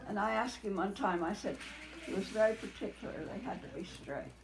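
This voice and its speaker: Older woman with a medium-pitched voice, telling family memories casually and without hurry. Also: expressive, a little fast, crowd noise, rough recording. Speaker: family elder sharing memories. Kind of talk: casual reminiscing.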